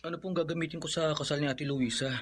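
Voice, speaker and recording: A man's voice: fairly high pitch, fairly even intonation, quick pace, lightly acted. A man acting a humble, sorrowful young son in a Filipino radio drama, with earnest, emotional delivery. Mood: hurt, meek.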